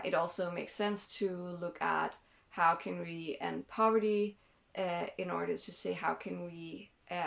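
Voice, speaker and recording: Slow, gravelly-voiced woman from Denmark. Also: fairly low pitch. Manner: thoughtful, hesitant, many fillers and restarts. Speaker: channel host. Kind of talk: casual explanatory vlog.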